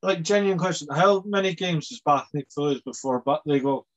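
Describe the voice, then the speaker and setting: A young man with a high voice, mocking his co-hosts with quick-fire jibes. His podcast chat is casual and playful. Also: highs cut off. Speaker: podcast co-host. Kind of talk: casual banter.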